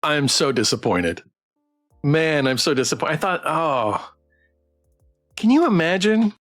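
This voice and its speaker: A man hosting a casual game livestream, reading text aloud and chatting with playful, expressive reactions. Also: fairly high pitch, measured pace, faint music behind. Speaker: game streamer and host.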